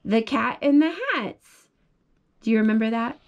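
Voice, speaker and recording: A young mother reading a picture book aloud to her toddler at bedtime, slow and sing-song, asking coaxing questions about the pictures. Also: fairly high pitch, muffled recording. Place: child's bedroom at home. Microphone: phone held above the reader's lap.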